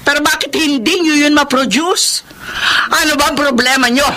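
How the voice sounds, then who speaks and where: An actress playing a harsh, demanding female film company boss in a Filipino radio drama, with a Filipino accent and an imperious, sharp manner. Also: sits high, normal speed. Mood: scolding. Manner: imperious, sharp, switches between Tagalog and English. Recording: music bed under the voice.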